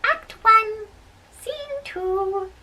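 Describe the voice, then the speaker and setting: A female reader doing an amateur dramatic play reading, a little halting, recorded at a distance from the mic with background noise. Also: very high voice, sharp tone.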